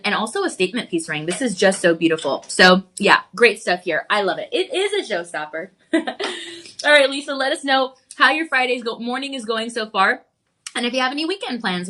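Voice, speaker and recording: Woman, shopping channel host, slightly high voice, congested, chatty live sales pitch, a cough now and then. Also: a bit bright. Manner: chatty, upbeat, reads viewer comments aloud.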